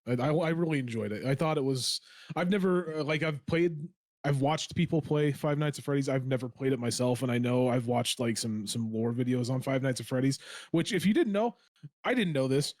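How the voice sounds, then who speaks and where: A man speaks as a panelist on a casual podcast. His voice sits at a medium pitch in a dry recording, and he is wordy, slangy and emphatic. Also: brisk, a little mellow, dry recording.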